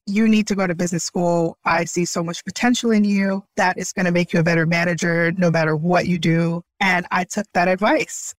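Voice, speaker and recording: A woman's voice: fairly low pitch, no room sound. A woman from Brooklyn whose first language is Haitian Creole, speaking earnestly and reflectively as an interview guest, often laughing lightly. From Brooklyn, New York. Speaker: startup founder, guest. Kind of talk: conversational interview.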